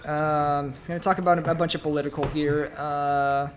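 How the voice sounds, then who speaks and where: A man's voice: on the high side, level tone, normal speed, faint music behind. A man from Brazil with a light Brazilian accent, chatting casually and rambling with many fillers while livestreaming a game. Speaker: streamer.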